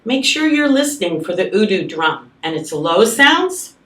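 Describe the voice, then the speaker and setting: A woman's voice: medium pitch, very animated, moderate pace, a bit bright. A woman music teacher presenting an instructional demonstration to children, explaining step by step. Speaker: elementary school music teacher. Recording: roomy, distant microphone.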